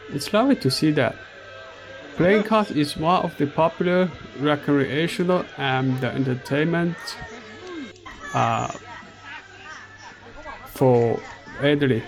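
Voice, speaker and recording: Chinese-accented male vlogger, middle-aged, unhurried and gravelly, outdoors. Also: medium pitch, low-quality recording. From China. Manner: slow and halting, with many fillers. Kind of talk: walking tour narration. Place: outdoor park.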